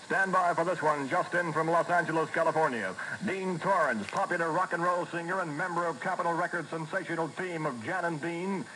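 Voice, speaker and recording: Highish American newsman on old radio. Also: brisk, few pauses, sharp tone, a little hiss or hum, poor audio. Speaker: radio news reporter. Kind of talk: 1960s radio news bulletin. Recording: 1960s AM radio aircheck over music.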